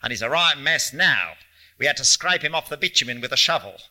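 Man acting cop, storybook character, officious, callous, stiffly polite, sharp bright voice. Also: mid-range voice, animated, brisk, pitched above the performer's own voice. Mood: blunt. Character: officious, callous Australian police patrolman.

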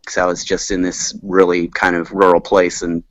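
American male podcast guest, 30s, musician type, lower register, meandering casual delivery, muffled lo-fi sound. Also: monotone, moderate pace. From rural southern Maryland, United States. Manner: rambling, self-deprecating, frequent "like". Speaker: podcast guest, touring musician and recording engineer. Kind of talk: casual chat.

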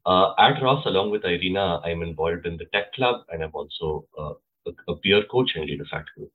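Deep husky man. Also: a little fast, narrow, tinny audio. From India. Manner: relaxed, explanatory. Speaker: guest, club co-president. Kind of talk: interview answers.

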